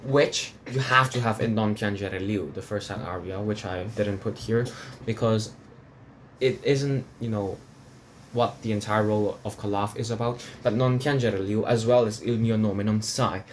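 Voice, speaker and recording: Man from Hong Kong, lowish voice. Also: steady tone, normal speed, slight background noise. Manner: rambling, many restarts and fillers, laughs between points. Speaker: opera reviewer. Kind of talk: casual spoken review.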